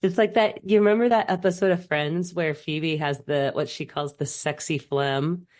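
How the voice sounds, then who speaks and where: A woman in her late thirties, co-hosting a podcast in casual chat, her voice still rough from a cold, rambling with lots of "like". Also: low-pitched, steady tone, moderate pace, a little mellow. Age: middle-aged. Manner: chatty, lots of "like", rambling storytelling. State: recovering from a cold, voice not fully back. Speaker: podcast co-host. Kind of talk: casual chat over a video call. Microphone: remote call mic.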